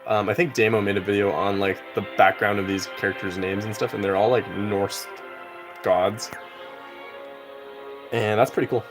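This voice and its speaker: Young male streamer, lowish voice, easygoing and rambling, heavy on filler words. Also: fairly even intonation, normal speed, long pauses, over music. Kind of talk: casual live-stream chat while gaming.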